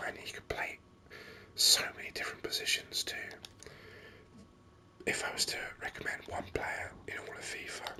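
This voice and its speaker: A gaming video host speaking in a breathy whisper, giving unhurried, rambling ASMR commentary with enthusiasm. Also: bright, forceful tone, low-quality recording.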